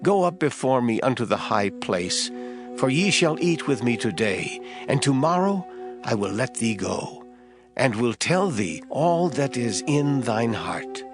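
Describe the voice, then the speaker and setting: Samuel, solemn prophet with animated inflection. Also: male voice, medium pitch, a little slow. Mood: assured, instructing. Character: Samuel, authoritative elder prophet, solemn and assured. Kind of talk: dramatized scripture reading. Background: background music.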